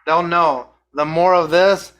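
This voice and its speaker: A man's voice: fairly high pitch, fairly even intonation, takes it slowly, a bit bright. A young man with a light Mexican accent talks in a slightly raised voice, reflective and self-critical as he hosts a casual podcast. He restarts often and picks his words as he goes. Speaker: podcast host.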